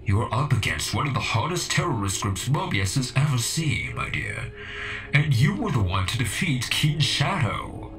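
A man's voice: medium pitch, sing-song, a bit bright. A man voicing a weary old cyborg echidna great-uncle, stern but caring, in a comic dub. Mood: gently encouraging. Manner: deliberate, admonishing, grandfatherly. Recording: music behind the voice.

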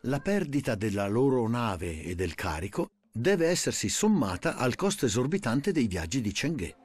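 A man's voice: mid-range voice, very animated, normal speed, a little mellow. A man reading scripted documentary narration.

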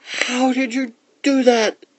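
Man doing a squeaky, excitable little boy. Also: normal speed. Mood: eager. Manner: high, exclaiming, whiny. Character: excitable, hungry, complaining little boys.

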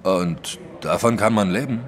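An actor playing a big, brusque, skeptical detective in an audio drama, questioning in a dry, commanding way. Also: male voice, fairly low pitch, unhurried, natural voice. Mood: businesslike. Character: huge, brusque, skeptical French male detective. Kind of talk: German audio drama acting.

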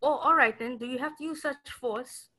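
A woman's voice: high voice, fairly quick, pushed and bright. A woman playing a put-upon maid in a mystery radio play, polite and earnest but with some defiance. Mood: nervous. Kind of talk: stage-style mystery radio play acting.

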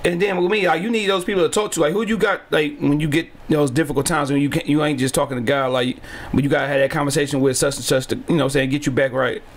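A man in his late thirties hosts a podcast from a small home studio, speaking into a boom-mounted studio mic. His voice sits fairly high for a man, and his talk is loose and slangy, full of "you know what I'm saying.". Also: fairly even intonation, fast. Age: 30s. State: sore back and neck after car crash. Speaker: podcast host. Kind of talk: casual interview chat. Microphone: studio mic on boom, headphones.

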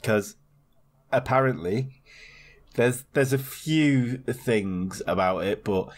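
Low-voiced man telling a rambling story. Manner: rambling storyteller, swears, laughs mid-sentence. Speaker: podcast co-host. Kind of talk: casual true-crime chat.

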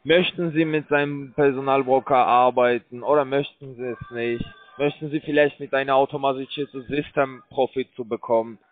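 A man cold-calling as a scam call center agent, pushing a sales pitch in broken grammar with hesitant fillers, heard over a tinny phone line. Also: steady tone, normal speed, few pauses.

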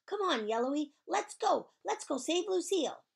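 A woman voicing a chatty, bossy, excitable kindergarten girl who tells her own story in childlike, emphatic speech for a children's audiobook. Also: mid-range voice, moderate pace. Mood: bossy, shouting. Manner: childlike grammar, emphatic, dramatic. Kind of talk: first-person children's audiobook narration.

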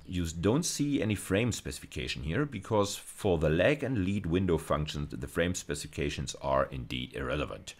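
A middle-aged man giving an explanatory video lecture as a university lecturer, measured and emphatic, often checking in with short tag questions. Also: sits low. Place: indoors, recorded lecture over slides.

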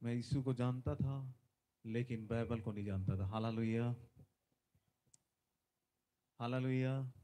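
A man's voice: medium pitch, steady tone, a little slow, pauses often. A man in his forties, a visiting preacher giving a sermon with prayer on a large conference stage into a handheld mic, fervent and emphatic, often calling out hallelujah. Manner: fervent, repeats hallelujah, loud emphatic bursts. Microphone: handheld microphone.

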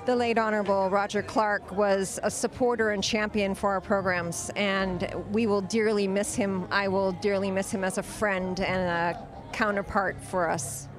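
A woman's voice: mid-range voice, little pitch movement, moderate pace. A middle-aged woman giving a formal interview statement outdoors into a handheld microphone. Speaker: interviewee, aid agency representative. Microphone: handheld reporter microphone.

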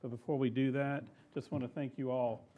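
A man's voice: mid-range voice, level tone, a little fast. A man with a mid-range voice runs a meeting informally. The sound is narrow and phone-like, with faint noise behind it. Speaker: meeting official leading awards.

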